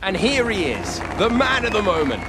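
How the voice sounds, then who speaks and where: An actor playing an upbeat, emphatic TV motor racing commentator with a polished delivery. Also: male voice, sits high, big pitch swings, moderate pace. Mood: admiring. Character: excited male motor race TV commentator, upbeat. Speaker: race commentator. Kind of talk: sports commentary. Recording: loud music and car noise behind. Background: music, racing cars.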